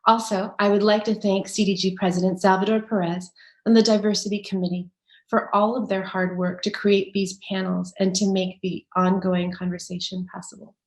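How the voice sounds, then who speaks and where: A woman's voice: mid-range voice, little pitch movement, moderate pace, darkish tone, echoey room. A woman moderating an online panel, reading a prepared introduction and then welcoming guests. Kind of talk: moderating a video-call panel.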